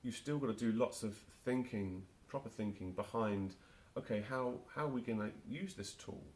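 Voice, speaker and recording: A man's voice: on the low side, normal speed, a little mellow, a little hiss or hum. A man in his forties with a London accent, answering interview questions with frequent fillers and restarts. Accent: London English. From England. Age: 40s. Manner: hesitant, many restarts and fillers. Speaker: marketing automation specialist, guest.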